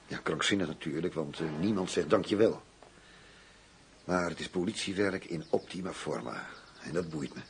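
A low-voiced police investigator in a radio drama. He is proud and driven, weighing his words and then pressing them home. Some ambient noise with animal sounds sits behind him.

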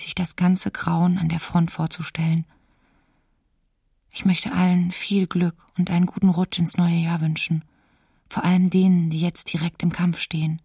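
A woman playing a young student reading her wartime diary in a radio docudrama, wistful and restrained, with a breathy voice. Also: fairly low pitch, dark tone, dry recording, studio quality, distant mic, heavily acted. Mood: somber. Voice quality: breathy, husky.

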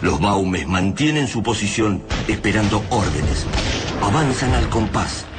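A man with an Argentine accent narrates a radio drama in a grave, measured voice. His tone sits low and steady. Loud music plays under him. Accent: Argentine Spanish.

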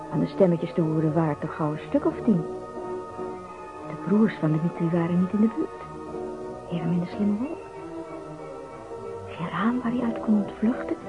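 A woman narrating a radio play in a scripted storytelling style. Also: lowish voice. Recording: dull recording with music behind. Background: music.

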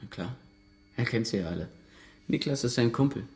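An actor playing a defiant, evasive uncle under interrogation in a naturalistic radio drama, answering curtly. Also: male voice, medium pitch, lively intonation, moderate pace, darkish tone, natural voice. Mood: guarded, curt.

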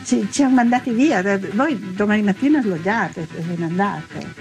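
A woman's voice: medium pitch, moderate pace, a little mellow, soft background music. An older woman, a former partisan, recounting her own memories as oral testimony.

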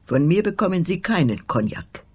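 An older woman playing a loyal, curt old housekeeper in a radio crime drama, terse and guarded with a very husky voice. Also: lowish voice, very animated, normal speed, a little mellow. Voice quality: very husky, breathy.

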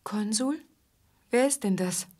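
An actress playing a desperate, naive young woman in an intimate radio drama, breathy and urgently pleading. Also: medium pitch, slow, a little mellow. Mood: anxious, unsure.

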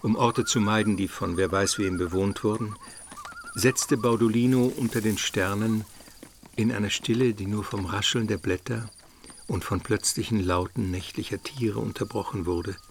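Calm travel chronicler male actor, lowish pitch, measured literary narration, faint outdoor ambience. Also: a little hiss or hum, close to an everyday voice. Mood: calm, descriptive. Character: male chronicler calmly recounting a journey. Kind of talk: scripted radio drama narration.

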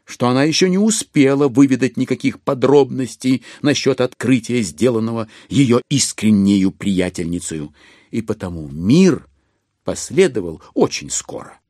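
An actor as the wry narrator of a classic novel in an audio drama, speaking with ironic relish and deliberate, expressive phrasing. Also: male voice, mid-range voice, no room sound, studio quality, close to an everyday voice.

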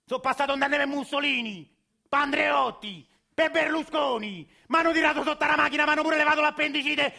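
An actor playing a cranky, foul-mouthed ninety-year-old grandfather with a Roman accent, cursing and shouting his rants in a radio play. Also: very high voice, gravelly, voice pushed up, full character voice. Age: 90s. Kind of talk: radio theatre acting.

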